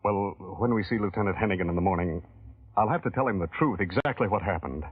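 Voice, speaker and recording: An actor playing an ambitious, lovestruck male employee in an old radio drama, speaking earnestly with halting, wry turns. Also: fairly low pitch, breathy edge. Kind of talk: 1940s radio acting. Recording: tinny old radio broadcast.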